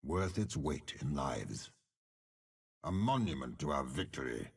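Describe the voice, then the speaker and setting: Very deep, gravelly warlord general. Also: male voice, very animated, heavily acted. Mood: grimly commanding. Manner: slow, grandiose, measured, imperious. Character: commanding older male warlord general, cold, cunning, menacing. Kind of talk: video game character voice lines.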